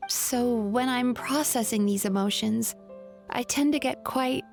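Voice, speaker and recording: A composed, polite spirit woman from a video game. Her voice sits fairly high and she speaks in a thoughtful, measured way, with faint music behind her. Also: gravelly. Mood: wistful, explaining. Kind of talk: video game character acting.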